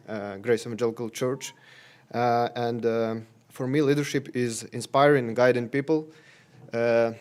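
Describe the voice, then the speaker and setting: A man from Ukraine, a native Ukrainian speaker, giving a short introduction speech in a halting, hesitant way. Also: mid-range voice, clean tone. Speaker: career consultant introducing himself.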